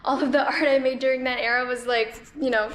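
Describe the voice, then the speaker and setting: Woman, 20s, from the Philippines, casual vlog chat, higher voice, echoey room. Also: quick pace, slight background noise. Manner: chatty, relaxed, frequent "like" fillers. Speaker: artist vlogger. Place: art studio. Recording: echoey room with faint music.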